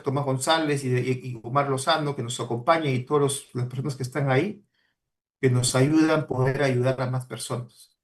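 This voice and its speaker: Peruvian man giving an earnest career talk. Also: mid-range voice. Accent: Peruvian Spanish. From Peru. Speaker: guest speaker, mining professional. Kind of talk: motivational career talk to students.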